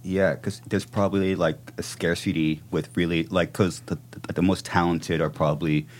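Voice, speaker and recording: A man with a deep, low voice hosts a casual podcast interview. He talks eagerly in a loose, slangy way and often trips over and repeats his words. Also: level tone, moderate pace, slight background noise. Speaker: podcast host.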